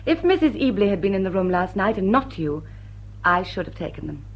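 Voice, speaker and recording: A woman's voice: mid-range voice, very animated, fairly quick. An actress playing a witty lady thief in love in a radio play, with an upper-class English accent and an arch, teasing, poised manner. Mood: poised, candid. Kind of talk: British radio play acting. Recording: dull old radio broadcast recording.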